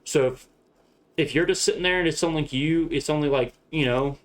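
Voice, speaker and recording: A man's voice: on the high side, brisk. A young man with a Southern US accent chatting casually on a live stream, rambling, laughing often and swearing freely. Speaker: streamer flying a shared-cockpit sim flight.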